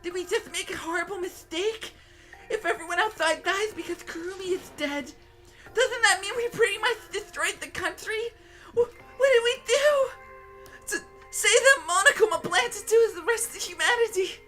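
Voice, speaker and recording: Woman acting a bright, theatrical anime schoolgirl. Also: very high-pitched, normal speed, soft background music. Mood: wailing panic. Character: dramatic high school students in a murder-mystery anime game. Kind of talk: comedic anime fandub acting.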